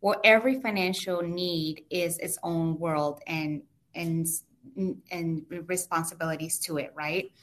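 A woman's voice: lowish voice, fairly even intonation, unhurried. A woman with a heavy Mexican Spanish accent, a native Spanish speaker, patiently explaining finances step by step as an interview guest.

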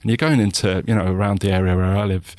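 A man with a southern English accent, a guest in an interview, talking reflectively with many pauses, restarts and "you know"s. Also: low-pitched, steady tone, darkish tone, no room sound. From England.

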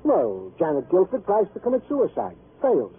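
A man playing a chatty, proud, comical American police sergeant in an old radio drama, husky and pompously folksy. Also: high voice, huge pitch swings, moderate pace, full character voice. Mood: earnest. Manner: pompous mock-formal wording, folksy. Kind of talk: 1950s radio comic-relief acting. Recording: muffled vintage radio broadcast recording.

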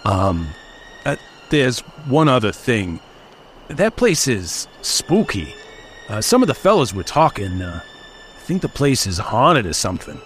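A man's voice: medium pitch, very animated, measured pace, a bit bright, slight background noise. An actor playing a nervous, timid henchman who hesitates and stammers, in an old-time radio drama.

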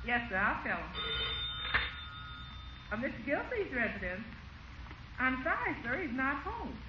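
High-voiced dutiful maid, roomy sound. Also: female voice, big pitch swings, moderate pace. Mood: polite, flustered. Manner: deferential, hesitant. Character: dutiful, polite female household servant. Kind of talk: old-time radio comedy acting. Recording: roomy, muffled, hissy vintage radio recording.

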